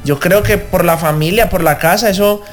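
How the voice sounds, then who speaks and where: A man's voice: high-pitched, moderate pace, soft background music. A young Colombian man from Cali with a Colombian accent, a singer being interviewed on the radio, talking in a grateful, humble way with many fillers. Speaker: guest singer.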